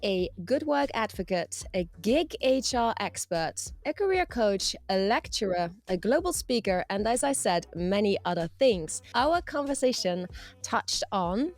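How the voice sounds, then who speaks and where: A woman's voice: medium pitch, big pitch swings, measured pace, soft background music. A woman speaking as a podcast host, presenting and asking interview questions. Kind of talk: scripted intro and interview questions.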